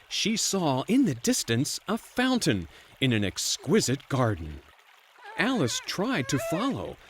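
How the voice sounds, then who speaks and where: A storyteller narrating a bedtime fairy tale in an expressive, playful storybook manner. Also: medium pitch, slight background noise.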